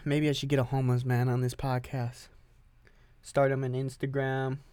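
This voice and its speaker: A man's voice: medium pitch, monotone, moderate pace, darkish tone, clear, resonant tone, no room sound. A man hosting a solo podcast, rambling and joking with frequent swearing. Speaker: podcast host. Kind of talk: casual solo podcast rant.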